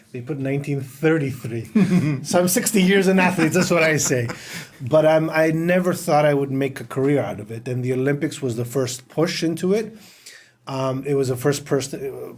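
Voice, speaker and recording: A middle-aged man who grew up in Greece, a podcast guest telling anecdotes in a relaxed interview chat. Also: mid-range voice. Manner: storytelling, anecdotal, laughs while talking.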